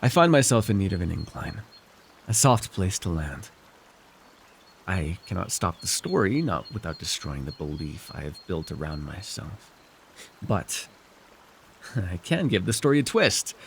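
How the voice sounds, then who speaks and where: A man voicing a scheming, weary villain lord in an immersive fantasy ASMR roleplay, wry and persuasive, speaking theatrically with halting, musing pauses and sighs. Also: low-pitched, vocal fry, a little hiss or hum, exaggerated voice. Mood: musing, pleading. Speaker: voice actor.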